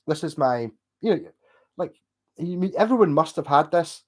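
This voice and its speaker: A man's voice: on the high side, lively intonation. Glasgow man, middle-aged, casual rambling chat, talks to camera. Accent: Glasgow Scottish. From Scotland. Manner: rambling, chatty, swears and laughs, slows down when asked. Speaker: streamer reading chat.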